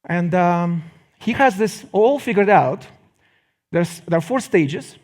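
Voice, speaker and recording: A middle-aged man lectures to the public in Russian-accented English. His voice sits a bit higher than most men's. He phrases things deliberately, pausing for effect and adding wry remarks. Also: animated, a little mellow. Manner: deliberate phrasing with dramatic pauses and wry asides.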